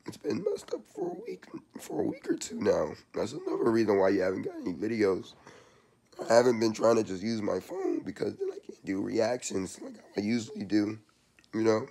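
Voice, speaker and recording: A young man with a hoarse, worn-out voice giving a casual, slangy vlog update from a small room, recorded close on a laptop webcam. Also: lowish voice, huge pitch swings, fairly quick, mellow. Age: young adult. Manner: loose and chatty, slangy. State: hoarse, losing his voice. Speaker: sports channel vlogger.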